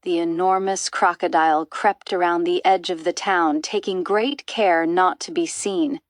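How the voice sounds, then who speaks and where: A woman's voice: lowish voice, fairly even intonation, unhurried, dry recording, clean studio sound. A woman narrating a children's storybook as an audiobook reader.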